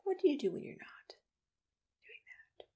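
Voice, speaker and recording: A woman whispers softly and gently in an ASMR roleplay, asking questions in an attentive, breathy voice close to the microphone. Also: normal speed. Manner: soft-spoken, gentle, attentive personal tone. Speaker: ASMR roleplayer playing a monster matchmaker. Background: computer keyboard typing.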